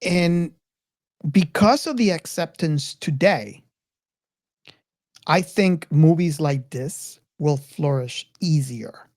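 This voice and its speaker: A man's voice: on the high side, takes it slowly, darkish tone, no room sound, clean studio sound. A man hosting a casual film discussion, rambling and circling back over his phrases as he talks. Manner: rambling, repeats and restarts phrases. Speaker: podcast host.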